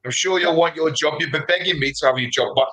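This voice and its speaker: A man's voice: on the high side, fast, a loud crowd. A man chatting on a livestream in a matey, teasing way. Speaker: co-host. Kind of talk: casual livestream chat.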